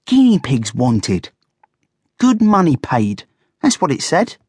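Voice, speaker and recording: A woman playing an eager, excitable male guinea pig with a squeaky, enthusiastic manner in a children's storybook. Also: a little slow, breathy edge, dry recording, studio quality, heavily acted. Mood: cross, insistent.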